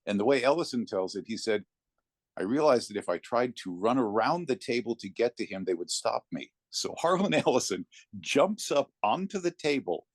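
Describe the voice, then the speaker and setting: A man talking conversationally as an interview guest, telling anecdotes in a reflective way with thoughtful pauses. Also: medium pitch, sing-song, dry recording, clean studio sound. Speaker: interview guest, narrator of classic readings.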